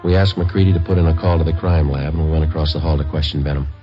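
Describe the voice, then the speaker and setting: Terse police detective, very deep voice, dry and factual, vintage radio crime drama, muffled sound. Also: male voice, rapid-fire. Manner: clipped, deadpan, matter-of-fact. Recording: dull old radio recording, music behind.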